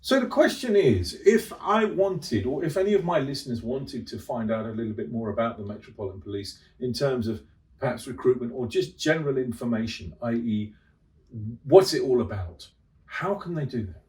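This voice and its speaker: A man's voice: medium pitch, animated, moderate pace, darkish tone. A man hosting a radio interview, asking long, rambling, probing questions. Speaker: radio host. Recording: distant mic.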